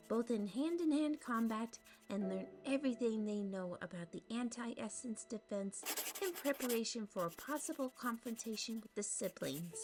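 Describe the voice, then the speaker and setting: A woman with a fairly high voice tells a fantasy tale in storybook narration. She pauses now and then, chatty, to puzzle over a name. Music plays clearly behind her.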